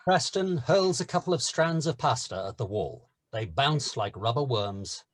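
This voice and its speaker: A man's voice: medium pitch, big pitch swings, a little slow. A man reading stage directions as narrator in a scripted screenplay table read.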